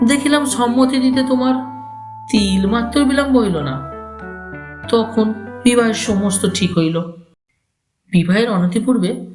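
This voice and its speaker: A man playing a slow-talking, calculating old uncle. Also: sits high. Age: older. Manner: formal, deliberate, literary phrasing. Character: elderly, coolly calculating village uncle recounting his scheme. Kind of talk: dramatized literary story reading.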